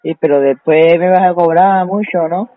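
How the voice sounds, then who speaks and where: Un hombre que interpreta a una madre mayor, ingenua y evasiva, en una broma telefónica. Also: male voice, high voice, steady tone, moderate pace, no room sound, lightly acted. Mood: naive confusion. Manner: evasive, naive, repetitive. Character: confused, illiterate old mother answering the phone. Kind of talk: prank phone call. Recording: muffled, tinny phone line.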